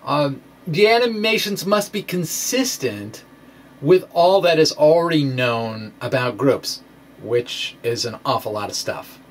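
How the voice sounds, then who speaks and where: A man presenting his own science blog aloud in an informal, explanatory way, with a halting, theatrical, emphatic delivery. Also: medium pitch, animated, a little hiss or hum. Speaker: science video blogger.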